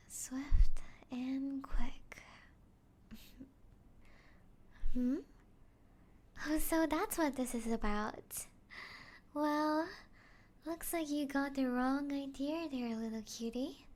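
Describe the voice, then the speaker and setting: A woman playing a taunting, playful female vampire in an ASMR roleplay, teasing the listener and often slipping into breathy whispers. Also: high voice, a little mellow, exaggerated voice.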